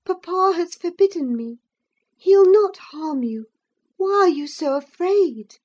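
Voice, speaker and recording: A woman narrator plays a gentle young lady in a classic novel. Her voice is lifted high above ordinary narration, anxious and tender, with an urgent, soothing delivery. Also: lively intonation, slow pace, dull audio, full character voice.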